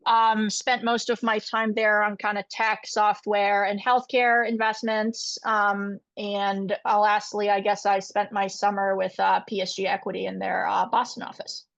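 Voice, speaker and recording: A woman's voice: medium pitch, little pitch movement, a little slow, creaky, few pauses, no room sound. A young woman from Finland, an MBA student, introducing herself conversationally on a video call. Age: young adult. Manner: conversational, uses "kind of" often. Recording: dull lo-fi call audio.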